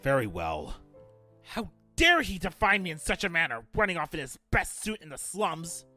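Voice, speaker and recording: A man's voice: fairly high pitch, huge pitch swings, normal speed, bright, forceful tone, soft background music, full character voice. A man playing a haughty, irritable rich father in an audio drama, speaking with pompous disdain. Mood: indignant, disdainful. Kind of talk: fan audio drama acting.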